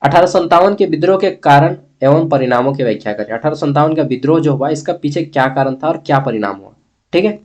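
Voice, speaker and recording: A man's voice: fairly high pitch, expressive, fast talker, darkish tone. A man with a Bihar-Jharkhand accent from eastern India, giving an informal exam-review lesson as a blunt, opinionated teacher who keeps checking with listeners. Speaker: history teacher.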